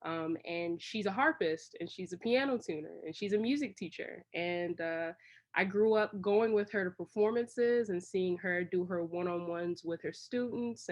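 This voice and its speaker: A woman from Washington, D.C., giving casual, long-winded answers as a guest in an interview. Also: lowish voice. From Washington, D.C., United States. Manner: long-winded, rambling storytelling. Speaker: interview guest, creative director.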